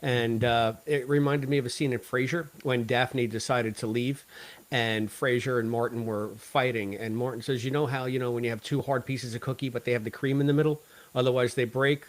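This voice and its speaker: A man with a mid-pitched voice talks as a podcast host. He is opinionated and talkative, working through his views in a casual review discussion. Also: steady tone, a little fast.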